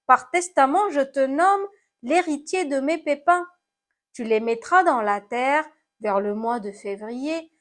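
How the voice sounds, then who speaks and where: A woman voicing a battered apple from a children's poem, plaintive yet gently generous. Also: sits high, a little slow. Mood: resigned, generous.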